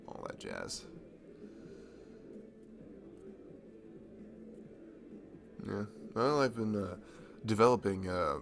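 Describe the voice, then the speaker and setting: A man playing a friendly, nerdy young guy in a casual ASMR roleplay, chatting to a childhood best friend in a halting, self-deprecating ramble. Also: lowish voice, gravelly, noisy background. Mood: relaxed, sheepish. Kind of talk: improvised-sounding ASMR roleplay, casual one-sided conversation.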